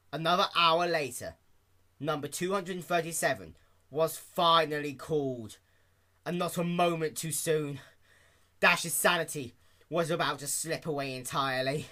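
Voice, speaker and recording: A man narrating a story as an amateur fan fiction reader, in an animated scripted style. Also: on the high side, a little slow.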